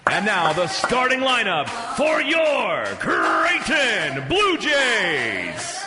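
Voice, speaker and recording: A man giving hyped public address introductions for a sports game, booming and stretching out names with mock seriousness. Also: huge pitch swings, extremely slow. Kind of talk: hyped arena pregame introductions. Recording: echoey arena-style reverb over loud music. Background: loud music.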